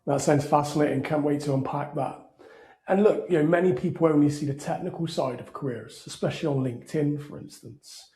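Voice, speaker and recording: A man co-hosting a podcast asks friendly, open questions in a mellow, fairly high voice. His voice rings in an echoing room. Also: brisk. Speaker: podcast co-host. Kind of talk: conversational interviewing. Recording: echoey room.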